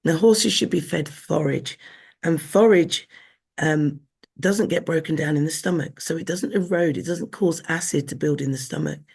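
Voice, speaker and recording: A hoarse woman, an equine nutritionist being interviewed, explaining earnestly and often restarting her sentences. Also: deep voice, normal speed, a little mellow. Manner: earnest, with frequent restarts and fillers.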